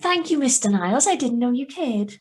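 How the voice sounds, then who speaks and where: A woman's voice: on the high side, expressive, fairly quick, lightly acted. Woman as gracious 1940s starlet. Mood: gracious, bright. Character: gracious 1940s Hollywood actress as radio guest. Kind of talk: scripted 1940s radio sponsor banter. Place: video call reading from home.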